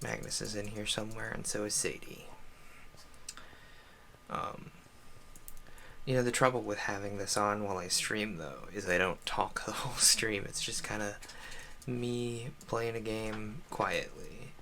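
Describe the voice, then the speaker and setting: A male game streamer with a gravelly, bright voice talks off the cuff. He rambles in a halting, casual way and drops small self-deprecating asides, with faint noise behind him. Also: on the low side, fairly even intonation. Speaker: game livestreamer. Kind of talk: casual solo livestream chat.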